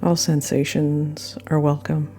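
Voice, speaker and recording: A woman guiding a yoga nidra meditation with gentle, unhurried phrasing and frequent pauses. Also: deep voice, little pitch movement. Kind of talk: guided meditation narration. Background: soft meditation music.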